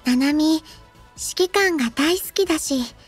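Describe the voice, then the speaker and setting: Very high, childlike anime robot girl. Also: measured pace, soft background music. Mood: affectionate. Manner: cutesy, refers to herself in third person, expressive. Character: cheerful, devoted robot girl, childlike and playful. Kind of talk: Japanese anime game character voice lines.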